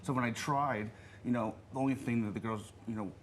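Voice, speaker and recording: A man's voice: mid-range voice, fairly even intonation, brisk, slight background noise. A man in his thirties giving earnest answers as a TV interview guest. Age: 30s. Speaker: reality show guest.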